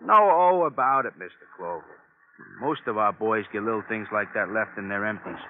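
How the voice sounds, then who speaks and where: A man's voice: fairly low pitch, lively intonation, normal speed. An actor playing a brusque, evasive milk plant foreman in an old-time radio drama, talking in colloquial working-class phrases. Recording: noisy, tinny old radio recording.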